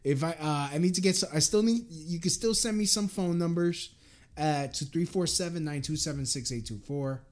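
Higher-voiced man hosting a prank call show. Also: moderate pace. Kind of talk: casual live prank-calling chat.

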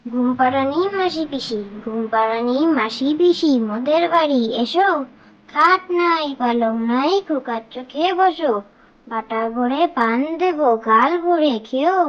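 Woman, high voice, sing-song nursery rhyme recitation, short phrases, slightly muffled lo-fi recording. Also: measured pace, fairly bright tone.

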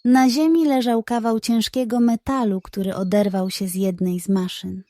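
A woman narrating a scripted bedtime story for children in an expressive, suspenseful way. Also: a little high, normal speed, no room sound, studio quality.

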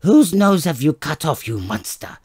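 A man voicing a furious barber's wife who screams insults at her husband, raising his voice high and loud. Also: very animated, moderate pace, husky, dry recording, studio quality. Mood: furious scolding. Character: shrill scolding middle-aged barber's wife.

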